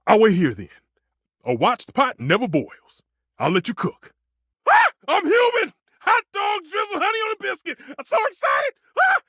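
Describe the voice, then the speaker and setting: A man's voice: very high voice, a bit bright, breathy edge, no echo, studio quality, heavily acted. A man voicing a passionate, romantic stove who is a chef in a video game, with a theatrical, grandiose, exclamatory delivery. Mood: playful, encouraging, then excited, frantic. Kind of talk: video game character acting.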